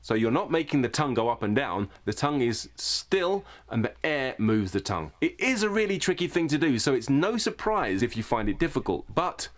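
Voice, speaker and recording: A man teaches a voice exercise in a short tutorial, patiently encouraging the listener. His pitch is mid-range but moves around a lot. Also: big pitch swings, fairly quick, few pauses. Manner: encouraging, instructive. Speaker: voice coach.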